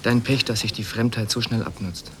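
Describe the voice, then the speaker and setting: A man's voice: mid-range voice, fairly even intonation, moderate pace, crowd noise, natural voice. An actor playing a curious, wistful intellectual man in a radio play, talking in a musing, probing way. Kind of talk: German radio play acting. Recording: noisy ambient background.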